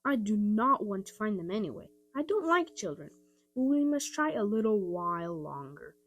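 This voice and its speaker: A woman's voice: mid-range voice, big pitch swings, moderate pace, faint music behind. A woman voicing a grudging storybook baker's wife who dislikes children, speaking curtly. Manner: curt, grudging.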